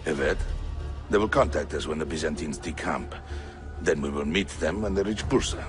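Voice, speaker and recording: A man's voice: extremely low pitch, moderate pace, over music. A man playing a stern, proud Ottoman Janissary captain in a video game, with a weighty, dignified delivery. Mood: commanding. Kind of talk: video game cutscene acting.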